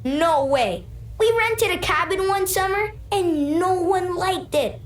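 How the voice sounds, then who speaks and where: A woman's voice: very high-pitched, expressive, takes it slowly, echoey room, heavily acted. A woman voicing a chatty, opinionated young child in a video game. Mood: opinionated, dismissive. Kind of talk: voiced video game dialogue.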